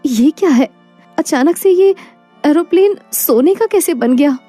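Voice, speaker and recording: A woman's voice: high-pitched, animated, a little slow, dark tone, faint music behind, voice pushed up. A woman voicing a poor, proud and devoted wife in a moral story, earnest and emotional. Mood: amazed. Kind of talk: animated moral story dialogue.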